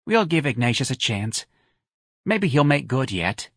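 A man's voice: medium pitch, big pitch swings, a little slow, a bit bright, dry recording, studio quality, voice pushed up, heavily acted. A man voicing a whiny, self-pitying older working-class mother in an audiobook, plaintive and folksy. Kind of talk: audiobook character dialogue.

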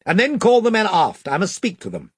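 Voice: medium pitch, sing-song, unhurried, husky, no echo, studio quality, voice pushed up. A reader voicing a proud young king who speaks in a regal, commanding way.

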